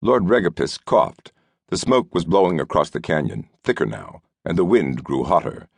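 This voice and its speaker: Very deep gravelly man narrating fiction. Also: very animated, moderate pace, no echo. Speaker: audiobook narrator.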